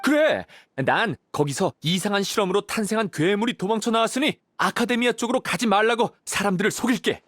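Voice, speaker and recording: A man's voice: high voice, animated, fairly quick, few pauses, fairly bright tone, no echo, clean studio sound. An actor playing a playful, cheeky young man in a video game, teasing and breezy in manner. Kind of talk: video game dialogue acting.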